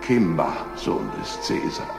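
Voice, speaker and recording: A man's voice: extremely low pitch, sing-song, slow pace, creaky, exaggerated voice. An actor playing a noble, tender lion king and father-to-be in a children's audio drama. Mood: tender, weak. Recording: echoey, loud music behind.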